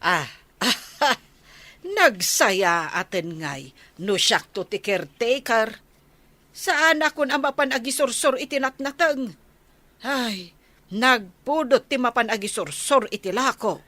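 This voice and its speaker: Woman acting a teasing, nagging vendor, bright forceful tone, dry studio sound, radio drama. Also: on the high side, slow pace, no echo. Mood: teasing, complaining.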